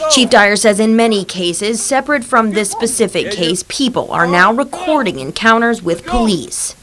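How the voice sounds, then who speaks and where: A woman's voice: mid-range voice, expressive, moderate pace, crowd noise. A woman with an American accent reading scripted news narration as a television reporter.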